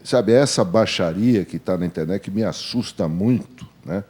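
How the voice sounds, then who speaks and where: A man's voice: low-pitched, normal speed, a little mellow. An older Brazilian man, a veteran journalist, talking informally on a panel in a blunt, colloquial way with repeated phrases for emphasis.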